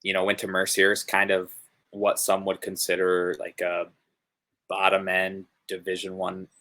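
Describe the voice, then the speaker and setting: Young hockey guy w northern us accent, low flat voice, lots of uh and you know. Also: normal speed. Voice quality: gravelly low voice. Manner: relaxed, rambling with many fillers. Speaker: hockey player guest. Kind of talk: casual interview chat. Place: home room, video call.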